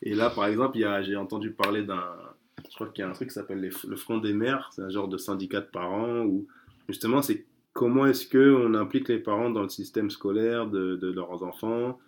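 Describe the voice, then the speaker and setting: A man's voice: lowish voice, steady tone, brisk. A man chatting casually as an interview guest, hesitant, with many fillers and restarts. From France.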